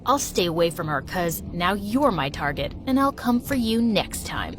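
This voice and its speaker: Smug sneering teen bully boy, voiced low and taunting, as a woman puts him on; cartoon story. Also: sing-song, normal speed, slight background noise.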